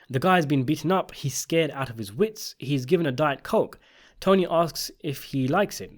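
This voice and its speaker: A man narrating a scripted video essay, giving opinionated commentary on a television drama. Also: medium pitch.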